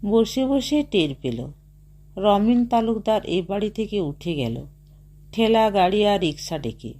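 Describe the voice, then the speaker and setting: A woman narrating a short story as an audiobook, reading in a measured way and softly voicing the dialogue. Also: mid-range voice, big pitch swings.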